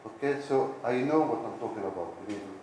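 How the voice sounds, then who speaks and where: Echoey, low-voiced middle-aged man giving a keynote. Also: fast, darkish tone. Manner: emphatic, repeating key words for stress. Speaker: academic keynote speaker, university president. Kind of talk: conference keynote presentation. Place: indoor conference lecture room. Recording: echoey hall, distant, with background hiss.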